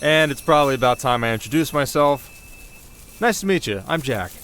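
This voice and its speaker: A man's voice: mid-range voice, lively intonation, a little fast, fairly bright tone, close to an everyday voice. A man playing a cocky, playful young winter spirit in an ASMR roleplay, talking casually with sarcastic, teasing banter. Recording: clear outdoor ambience noise behind voice.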